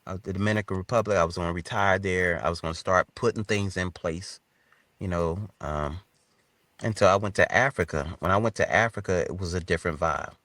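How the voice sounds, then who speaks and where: Deep-voiced American man in his fifties. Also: a little fast, a bit bright, no room sound. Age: 50s. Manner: informal, colloquial phrasing. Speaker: interview guest. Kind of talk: casual conversational storytelling.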